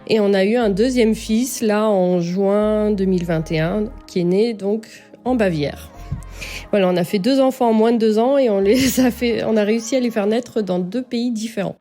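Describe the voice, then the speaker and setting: Casting: woman, late 30s, from France, mellow, candid podcast guest, halting delivery. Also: mid-range voice, soft background music. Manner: hesitant, with many fillers and restarts. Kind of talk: personal testimony.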